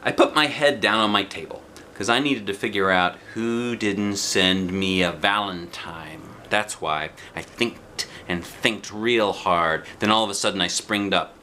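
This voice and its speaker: A man reading a children's storybook aloud in an expressive, theatrical narrating style. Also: on the low side, a little slow, few pauses, a little hiss or hum. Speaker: storybook reader.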